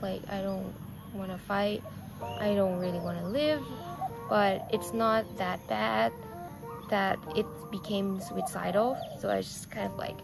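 Thai woman in her twenties with a Thai accent, soft reflective vlog confession, very slow and heavily gravelly, music under her, recorded outdoors. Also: medium pitch, low-quality recording. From Thailand. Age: 20s. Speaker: vlogger. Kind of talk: personal storytelling to camera. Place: outdoors on a lawn. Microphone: camera on a tripod, seated facing it.

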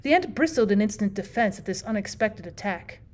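A woman's voice: mid-range voice, expressive, fairly quick. A woman reading aloud like a teacher, in scripted audiobook narration of a classic short story.

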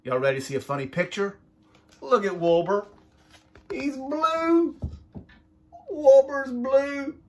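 A man's voice: extremely high pitch, expressive, slow pace, pauses often. A middle-aged man reading a children's storybook aloud with playful, folksy asides. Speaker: storybook reader. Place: small furnished room indoors.

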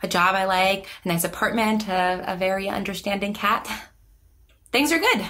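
An anxious young woman, played by an actress in a naturalistic audio drama. She rambles, hesitates and trips over her words in a self-conscious way, in a medium-pitched voice. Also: normal speed, fairly bright tone, natural voice. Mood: evasive, nervous. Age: young adult. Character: nervous, rambling young woman with secret ability. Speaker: therapy patient character.

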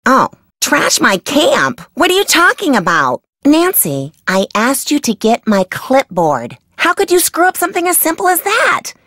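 An eccentric female field scientist from a video game. She is bossy and wordy, swooping up and down in pitch as she rambles with great emphasis. The sound is dry and close. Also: huge pitch swings, a little slow, fairly bright tone, no echo, exaggerated voice. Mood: exasperated. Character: eccentric, driven, easily sidetracked woman insect researcher. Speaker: game character, field entomologist. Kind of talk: scripted video game voice acting.

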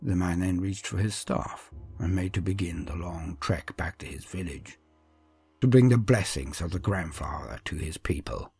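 A man with a low, heavily husky voice narrates dark fantasy tales. His delivery is theatrical and grave, with phrases that fall away into hushed endings. The sound is dry, with faint music underneath. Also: normal speed, no echo. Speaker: storyteller narrator.

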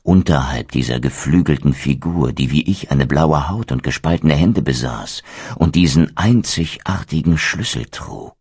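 Ein Schauspieler spricht einen bitteren, stolzen untoten Krieger in einem Videospiel, feierlich und wortgewandt. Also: male voice, rumbling low, expressive, a little slow, darkish tone, breathy edge, heavily acted. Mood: brooding. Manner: solemn, eloquent, theatrical. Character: bitter, proud undead wraith warrior, male. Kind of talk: dramatic video game narration and dialogue.

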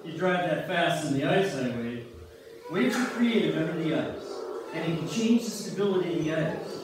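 A man's voice: a little high, lively intonation, moderate pace, over music, lightly acted. A man playing a resigned, plain-spoken ice fisherman in amateur reader's theater, recorded from far off in a church hall. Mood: matter-of-fact. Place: church sanctuary stage with audience. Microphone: far camera in the pews. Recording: distant, very echoey noisy church hall recording.

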